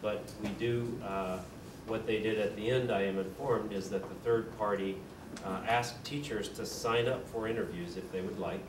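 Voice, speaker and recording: A man chairing a school board meeting, explaining procedure in a measured way with frequent fillers. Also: lowish voice, steady tone. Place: meeting room. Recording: distant mic, echoey room, noisy.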